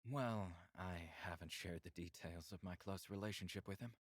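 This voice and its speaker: An actor playing an earnest, reserved male alien scientist in a visual novel game, speaking in a formal, measured way. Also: low voice, dry recording, studio quality. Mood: reserved, resigned.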